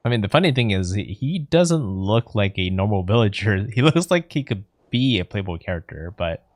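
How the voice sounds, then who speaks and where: A man's voice: on the low side, very animated, moderate pace, no echo. A man streaming a video game, reading dialogue aloud and commenting as he plays.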